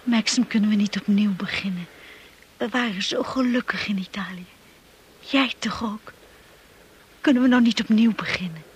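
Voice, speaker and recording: A hushed, devoted young wife. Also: female voice, mid-range voice, normal speed, long pauses, slightly rough. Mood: pleading. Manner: tender, earnest, imploring. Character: devoted, anxious young wife pleading with her husband. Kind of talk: Dutch radio play acting. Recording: noisy old radio play recording.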